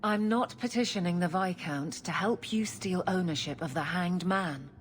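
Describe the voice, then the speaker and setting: A woman's voice: lowish voice, moderate pace, slight background noise, close to an everyday voice. A woman playing a steadfast, no-nonsense guard officer in a fantasy game, speaking firmly and earnestly. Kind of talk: dramatic game cutscene acting.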